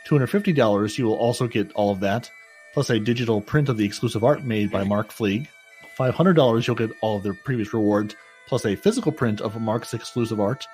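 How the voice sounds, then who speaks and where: A man's voice: on the low side, a little fast, darkish tone, soft background music. A man co-hosting a casual gaming podcast, chatty and self-mocking, often repeating his words as he reads out news. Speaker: podcast co-host.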